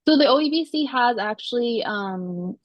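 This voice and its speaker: A woman's voice: a little high, expressive, moderate pace, dry recording, lo-fi sound. A young woman, an optometrist and exam board member from Canada, answering students' questions on a video call in a friendly, informal way. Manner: friendly, informal, with laughs and fillers.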